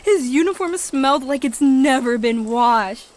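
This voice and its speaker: A woman's voice: high-pitched, animated, unhurried, a little hiss or hum. A woman playing a thoughtful, empathetic girl time traveler, sincere and youthful, in an adventure audio drama. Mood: earnest.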